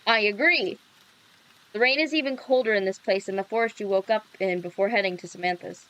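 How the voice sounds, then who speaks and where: A woman's voice: medium pitch, brisk, a bit bright, poor audio. A woman livestream host reading a visual novel aloud, with playful casual reactions. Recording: background music under the voice. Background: music.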